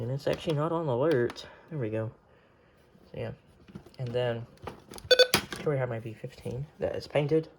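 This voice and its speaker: A man's voice: mid-range voice, vocal fry. A man giving a casual, unscripted show-and-tell in an informal, mumbly way, recorded at home. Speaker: hobbyist showing a weather radio collection. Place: room at home. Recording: noisy home recording with clicks.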